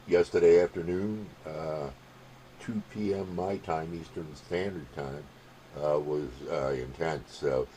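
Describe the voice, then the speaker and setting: An extremely low-pitched man from talks in a folksy, rambling way, like a hobby radio operator chatting to friends. He pauses and hesitates as he goes. The handheld recording is noisy, with ambient sound behind him. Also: mellow. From United States. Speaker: amateur CB radio operator and vlogger. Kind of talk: casual vlog chat and radio contact calls.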